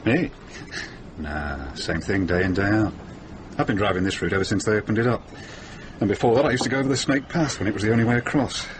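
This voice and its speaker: An actor playing a worldly, wisecracking middle-aged van driver in a radio drama, blokey and matter-of-fact. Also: male voice, fairly low pitch, fast talker, natural voice. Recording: noisy radio drama with background ambience.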